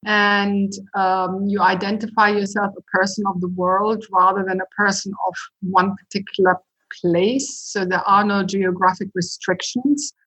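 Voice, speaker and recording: Measured, thoughtful middle-aged woman. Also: mid-range voice, fairly even intonation, fairly bright tone, poor audio. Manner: measured, thoughtful, with frequent "sort of" and "uh". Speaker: guest, university president. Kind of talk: reflective interview talk.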